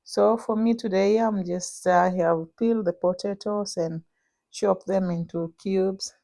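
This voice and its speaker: A young woman with a Kenyan accent talking through her cooking in a casual vlog, pausing often with "uh". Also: mid-range voice, steady tone, vocal fry, mellow, no room sound. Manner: unhurried, many "uh" pauses while cooking. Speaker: vlogger.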